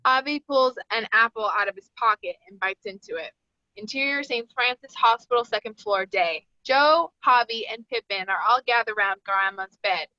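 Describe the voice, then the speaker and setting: A woman's voice: a little high, moderate pace, sharp tone, no room sound. A woman narrator reading screenplay stage directions aloud at a table read. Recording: dull, dry lo-fi audio.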